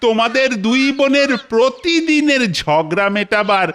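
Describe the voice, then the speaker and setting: A man's voice: very high voice, animated, moderate pace, fairly bright tone, smooth. A man voicing a timid, fussy village headman in a cartoon. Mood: fretful. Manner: flustered, fretful. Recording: cartoon voice over music.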